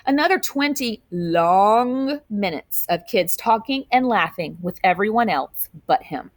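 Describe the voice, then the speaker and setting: A woman's voice: mid-range voice, expressive, measured pace, no echo. Woman reading a storybook aloud, dry close sound. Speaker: teacher reading a picture book aloud. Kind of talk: expressive storybook read-aloud with asides to children.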